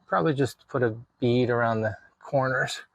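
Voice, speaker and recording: A man's voice: medium pitch, moderate pace, no echo. A man narrating a hands-on DIY vlog in an informal way, thinking aloud as he works. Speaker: video creator showing a DIY radio install.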